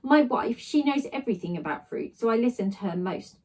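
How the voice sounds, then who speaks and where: A woman voicing a kindly, excitable older male shopkeeper who speaks earnestly in halting, broken English for a children's story. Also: on the high side. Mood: gentle, generous.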